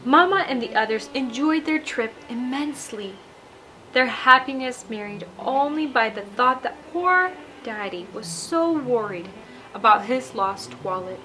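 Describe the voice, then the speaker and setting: High-pitched woman reading a bedtime story. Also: expressive, unhurried, pushed and bright, soft background music, poor audio. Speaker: storyteller and show host.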